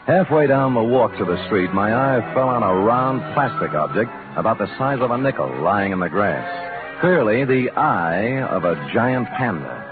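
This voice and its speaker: An actor playing a wry, tough private detective in 1940s radio noir style, with hard-boiled, wisecracking delivery. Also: male voice, medium pitch, very animated, normal speed, close to an everyday voice. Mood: wry narrating. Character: wry, tough male private detective narrating his case. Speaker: lead actor and narrator. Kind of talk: 1940s radio noir acting, first-person narration. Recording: tinny old radio broadcast with music.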